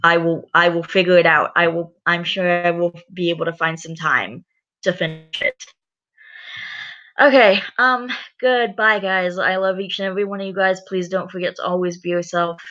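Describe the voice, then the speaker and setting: A woman's voice: on the low side, fairly even intonation, normal speed, sharp tone. A very tired woman hosting a reading channel, making rambling, exasperated asides in casual commentary. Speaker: channel host reading stories. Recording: dull lo-fi recording.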